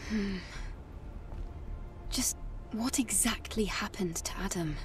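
An actress playing a resolute young woman warrior who speaks quietly and tersely. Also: mid-range voice, slow, distant mic, close to an everyday voice. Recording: off-mic, with game music.

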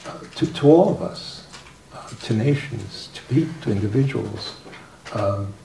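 An older man speaking softly as a guest on a discussion panel, halting with many fillers and restarts. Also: lowish voice, animated, vocal fry. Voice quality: soft-spoken. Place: formal room with audience. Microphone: table microphone. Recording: roomy, distant mic, faint noise.

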